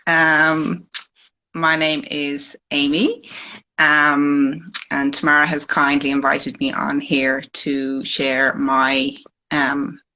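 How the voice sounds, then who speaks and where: A woman's voice: sits low, flat delivery, takes it slowly, sharp tone, poor audio. An Irish woman in her forties sharing her personal story as a live-stream guest, speaking hesitantly with many ums on a phone mic. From Ireland. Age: 40s.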